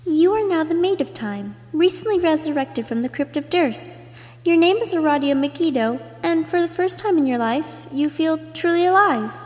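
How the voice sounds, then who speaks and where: A woman's voice: sits high, a little mellow. A woman reading scripted second-person character narration, as an amateur voice actor making a reel. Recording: long hollow reverb.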